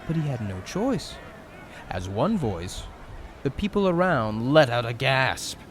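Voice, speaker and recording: A man narrates a fantasy story from a script in a dramatic style. His medium-pitched voice rises and falls a lot, with faint noise behind it. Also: sing-song, a little slow.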